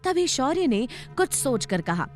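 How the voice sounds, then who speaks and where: High-pitched, animated woman narrator. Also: measured pace. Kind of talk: dramatic scripted story narration.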